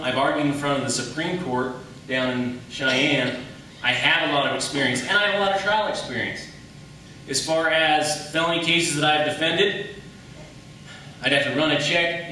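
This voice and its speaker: A man's voice: on the high side, pushed and bright. An American man giving an assertive campaign debate speech as an attorney, emphatic, posing questions and answering them himself. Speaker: defense attorney running for county attorney. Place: echoey hall at a public debate. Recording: very echoey room, distant mic, faint noise.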